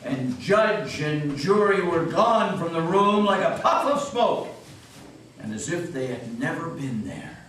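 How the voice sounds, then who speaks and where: Slow high-voiced male narrator, echoey hall. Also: lively intonation, fairly bright tone. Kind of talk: scripted dramatic story reading. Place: old meeting house, live performance. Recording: very echoey hall, distant mic, faint noise.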